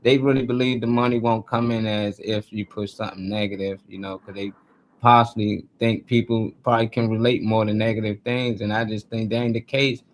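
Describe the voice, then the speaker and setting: A man's voice: on the low side, little pitch movement, brisk, low-quality recording. A man hosting a live show in casual chat, talking in an informal, colloquial storytelling way. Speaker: talk show host.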